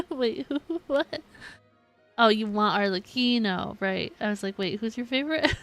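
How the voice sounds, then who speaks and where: A woman's voice: a little high, lively intonation, slow pace, fairly bright tone, soft background music. A woman streaming a video game, chatting casually and giggly with her viewers. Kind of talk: casual livestream chat with viewers.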